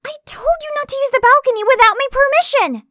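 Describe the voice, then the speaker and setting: A woman's voice: very high voice, quick pace, bright, forceful tone. A woman voicing a guarded, prickly young girl in an anime fan dub, stubborn, earnest and defensive, with long reverb on her voice. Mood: indignant.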